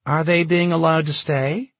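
A man's voice: fairly high pitch, fairly even intonation, normal speed, vocal fry, a bit bright, no echo, pitched above the performer's own voice. A man voicing a hungry refugee woman who pleads with a soldier and nears hysteria. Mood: persuasive. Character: desperate, hungry, sobbing young woman refugee.

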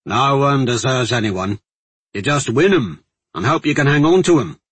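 A man's voice: medium pitch, lively intonation, moderate pace, a bit bright, husky, no echo, voice pushed up. A man voicing a loyal, wry guardsman who talks in clipped, folksy phrases in an audiobook story. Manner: clipped, folksy, dropped-subject speech. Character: gruff, plain-spoken male guardsman, loyal and wry.

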